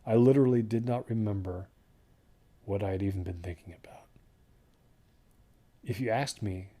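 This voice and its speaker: A man plays a haunted hiker telling his story in an audio drama. The voice is low and husky, and he talks haltingly and introspectively, like a confession from someone slowly coming apart. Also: mellow. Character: haunted, unravelling male desert hiker recounting his trek. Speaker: first-person narrator of a horror audio drama.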